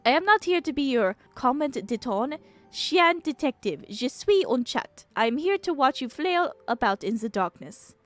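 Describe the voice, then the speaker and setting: A woman's voice: high voice, big pitch swings, moderate pace, soft background music, voice pushed up. A woman voicing a haughty, world-weary female French cat, pompous and scornful, in a video game. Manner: pompous, philosophical, scornful, peppering French phrases.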